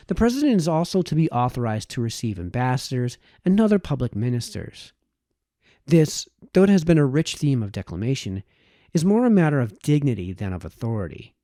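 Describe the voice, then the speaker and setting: A man narrates a formal historical essay in a mellow, relaxed tone. His phrasing is measured and oratorical, with animated rises and falls in pitch. The sound is very dry, with next to no room echo. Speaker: audiobook reader. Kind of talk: read-aloud of a formal historical political essay.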